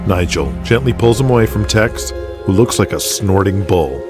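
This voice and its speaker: A man's voice: fairly low pitch, animated, normal speed, over music. A man reading scripted dramatic narration for an audio drama, in the present tense.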